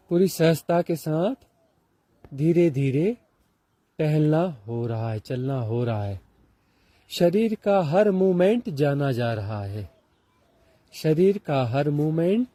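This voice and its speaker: Indian man, meditation teacher, slightly high pitch, dry close sound, deliberate repeated phrases, pauses. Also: mellow, dry recording. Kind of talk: guided walking meditation instruction.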